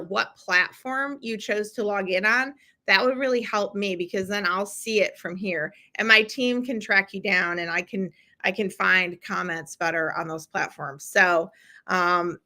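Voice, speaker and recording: A middle-aged woman hosts a live stream, talking straight to her viewers in a chatty, encouraging way. Her voice is bright and a little tense, and her pitch sits in a middle range. She keeps filling pauses with ums and restarts as she reads comments. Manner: chatty and encouraging, with many fillers. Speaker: podcast host running a live challenge. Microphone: streaming from a computer.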